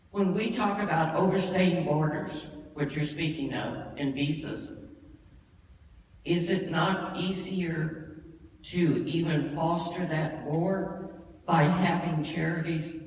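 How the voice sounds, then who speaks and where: A soft-spoken man in the audience asks a long, winding question in a slightly raised voice. He apologizes as he goes. The recording is distant and roomy, with a long echo and faint noise. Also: on the high side. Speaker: audience member asking a question. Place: church hall. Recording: echoey hall from far off.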